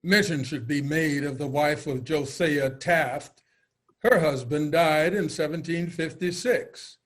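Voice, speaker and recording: A man reading as a town historian in a staged reading, formal and deliberate. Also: fairly high pitch, a bit bright. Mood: solemn, measured. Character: male town historian recounting a colonial vote. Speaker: reader in a reader's theater.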